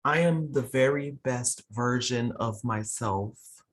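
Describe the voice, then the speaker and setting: A person leading a guided meditation in a soothing, unhurried way, pausing between short phrases. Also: mid-range voice. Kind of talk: guided meditation, slow instructions.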